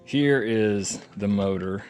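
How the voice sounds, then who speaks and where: A man with a deep, low-pitched voice talks his way through a hands-on repair job. He is casual and folksy, rambling as he thinks aloud like a hobbyist video host. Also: slow pace, darkish tone, soft background music. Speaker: audio repair vlogger.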